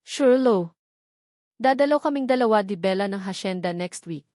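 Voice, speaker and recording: A woman's voice: medium pitch, animated, normal speed, warm tone, no room sound, clean studio sound, natural voice. A woman with a Filipino accent voicing a confident, casual young billionaire fiancé in a romance audiobook. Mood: casual, agreeable. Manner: casual, decisive.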